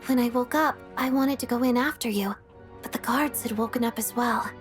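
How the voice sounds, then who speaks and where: A woman's voice: a little high, fairly quick, soft background music, lightly acted. A woman playing a composed, caring young woman in a fantasy video game. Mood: matter-of-fact.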